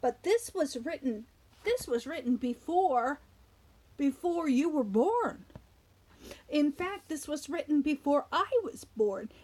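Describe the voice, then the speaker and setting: A woman with a high voice that swings widely up and down as she reads to little children. She takes her time, stretching words and stopping to put simple questions to her young listeners. Her delivery is animated storytelling, with small explanations along the way. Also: takes it slowly. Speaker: children's story reader and host.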